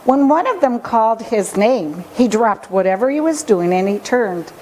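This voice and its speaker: A woman storyteller reading a children's picture book aloud with expressive, deliberate phrasing. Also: on the high side, a little hiss or hum, low-quality recording.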